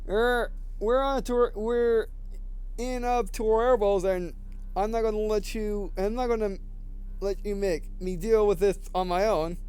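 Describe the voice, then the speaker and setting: A man's voice: high voice, steady tone, unhurried, darkish tone, clean tone, faint music behind. A man voicing a frantic, determined woman in a sci-fi game, urgent and insistent. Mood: insistent, stressed.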